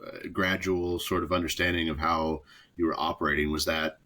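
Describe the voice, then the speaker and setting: A man's voice: sits low, flat delivery, normal speed, pushed and bright. A man hosting a podcast interview, asking long, hesitant questions full of fillers. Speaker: podcast host.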